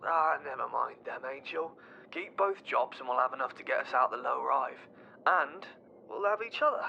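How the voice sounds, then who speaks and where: An actor playing an upbeat, affectionate boyfriend speaking over a call link in an audio drama. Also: male voice, medium pitch, normal speed, gravelly, pushed and bright. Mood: encouraging. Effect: voice over a radio-phone link. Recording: dull lo-fi call link with background noise.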